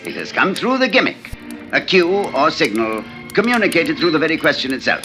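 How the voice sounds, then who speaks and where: Suave, wordy stage mentalist, swooping delivery. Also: male voice, on the high side, sing-song, brisk, sharp tone, full character voice. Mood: showman. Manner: suave, wordy, mannered, theatrical. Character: urbane, talkative stage mentalist confessing to police. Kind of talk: 1940s radio drama acting. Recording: old radio recording, faint music.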